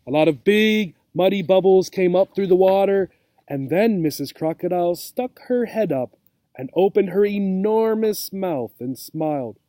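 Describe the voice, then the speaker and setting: Mellow male storybook teacher, higher-pitched. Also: no echo. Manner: checks understanding with questions. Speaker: teacher reading a storybook aloud. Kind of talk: expressive children's story reading for English learners.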